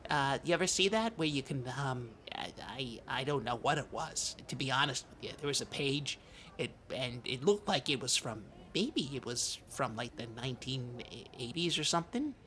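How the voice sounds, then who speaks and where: A man's voice: medium pitch, lively intonation, bright, forceful tone, slight background noise. A man playing a timid, earnest, odd podcast host who rambles and stammers through improvised comedy banter. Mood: hesitant, earnest. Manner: halting, hesitant, stammering rambler.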